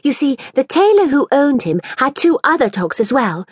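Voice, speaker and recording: A woman's voice: high voice, big pitch swings, moderate pace, no echo, studio quality, pitched above the performer's own voice. A woman voicing a haughty, boastful young girl with a pompous, grand manner in a storybook reading.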